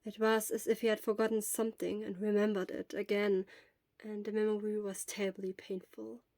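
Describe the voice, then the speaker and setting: A woman's voice: medium pitch, little pitch movement, moderate pace, darkish tone, no echo. A woman narrating scripted fiction as the reader of an audio story.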